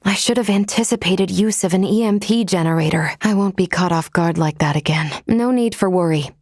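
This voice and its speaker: A woman voicing a composed, dutiful android crew member in a video game, speaking in a measured, formal, precise way. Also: fairly low pitch, a little mellow, dry recording, clean studio sound, close to an everyday voice. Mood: calm, measured. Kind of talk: scripted video game character lines.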